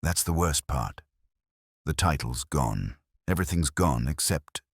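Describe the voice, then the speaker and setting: A man voicing a frightened, desperate woman with amnesia in a detective story, pleading and halting. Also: extremely low pitch, lively intonation, breathy edge, no room sound, clean studio sound, exaggerated voice.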